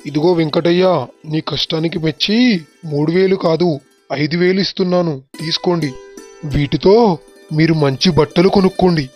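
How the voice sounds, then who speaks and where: A man's voice: a little high, steady tone, moderate pace, long pauses, darkish tone, lightly acted. A man playing a kindly, generous and appreciative village elder in a moral story cartoon. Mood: approving, generous. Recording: dull, muffled track with music. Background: background music.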